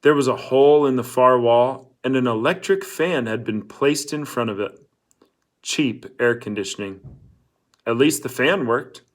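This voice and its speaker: A man's voice: mid-range voice, unhurried. A man reading a novel aloud as scripted storybook narration. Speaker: audiobook-style reader.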